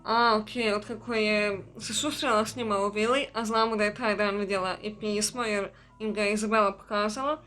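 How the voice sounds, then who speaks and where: A woman's voice: medium pitch, steady tone, fast talker, few pauses, fairly bright tone. A woman giving casual let's play commentary as host of a gaming video. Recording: voice over game music.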